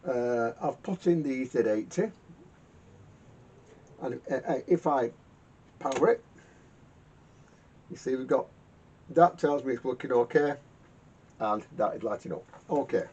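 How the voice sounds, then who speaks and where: Male repairer, halting, self-muttering workshop talk, dark soft tone, rumbly noisy recording. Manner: halting, muttering to himself, repeats words. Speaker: vintage radio repairer hosting a workshop video. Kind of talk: casual hands-on repair commentary. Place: garage workshop. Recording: muffled lo-fi sound with rumbling noise.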